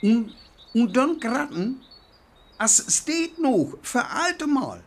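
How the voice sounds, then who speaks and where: Man playing husky, intense survivor with swinging pitch. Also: big pitch swings, extremely slow, exaggerated voice. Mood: scheming. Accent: North Frisian. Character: bitter, agitated survivor scheming for life underground. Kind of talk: radio play monologue.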